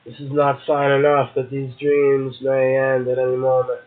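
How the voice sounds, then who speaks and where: Man, medium pitch, unhurried poetry reader and host, casual commentary, tinny narrow phone-like recording. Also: monotone. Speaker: poetry show host and poet. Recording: muffled, narrow phone-like sound.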